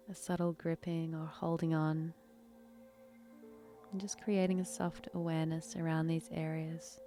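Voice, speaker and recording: A woman with a mellow, warm voice guides a meditation in a very even, level tone. She speaks softly and breathily, taking her time and leaving long pauses between phrases. Faint music plays behind her. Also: low-pitched. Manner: soft, breathy, unhurried delivery with long pauses. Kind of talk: guided meditation, soothing instruction.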